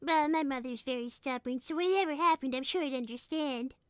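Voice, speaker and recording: A woman's voice: sits high, brisk, dry recording, clean studio sound, natural voice. A woman voicing a timid, polite young cartoon rabbit girl who is apologetic and prim in improvised comedy chat. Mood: uneasy.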